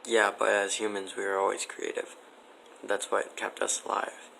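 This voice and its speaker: A man giving casual, matter-of-fact interview answers in a deadpan way. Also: lowish voice, normal speed, creaky, slight background noise, poor audio.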